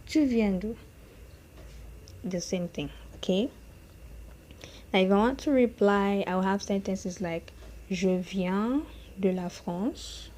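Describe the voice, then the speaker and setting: A woman teaching a beginner French lesson, saying each phrase slowly and more than once and checking in with "okay?" and "hmm?". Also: mid-range voice, a little mellow, slight background noise.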